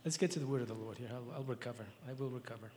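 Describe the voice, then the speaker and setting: A man with a raspy voice giving a halting, emotional memorial address and reading scripture in a church. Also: mid-range voice, big-room sound, crowd noise. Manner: halting, tearful, repeats words. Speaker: speaker at a memorial service.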